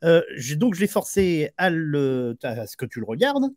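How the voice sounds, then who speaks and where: A man's voice: on the high side, big pitch swings, moderate pace, a little mellow, no echo, studio quality. A man from Berry in France hosting a film review show in casual chat, joking and hesitant, often repeating words.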